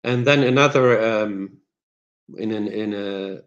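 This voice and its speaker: Low-voiced lecturer. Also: male voice, lo-fi sound. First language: Turkish. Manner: searching for words, many pauses and fillers. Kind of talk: academic talk with slides.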